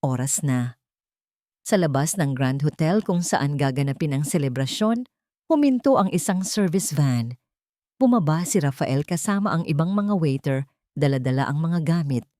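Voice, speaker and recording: Filipino lady narrating an audio drama, low voice, suspenseful and measured, super dry sound. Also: warm tone, no room sound. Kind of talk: dramatic scripted story narration.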